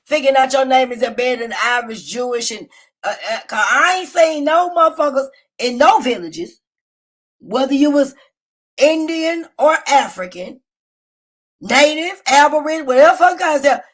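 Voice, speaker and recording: A woman hosting a casual livestream, telling stories in a loud, profane and animated way. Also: normal speed. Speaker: livestream host. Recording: low-quality phone stream.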